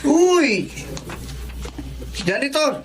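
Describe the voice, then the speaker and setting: A man's voice: very high-pitched, very animated, very slow, slight background noise, full character voice. A man acting an arrogant, jealous young student bully in a Filipino drama, sneering and taunting with a Filipino accent. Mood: menacing, jealous. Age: young adult.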